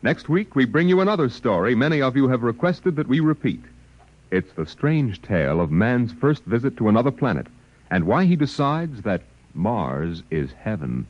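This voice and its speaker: A man's voice: mid-range voice, very animated, moderate pace. A man reading a scripted announcement as a 1950s radio announcer. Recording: dull old radio recording, faint music.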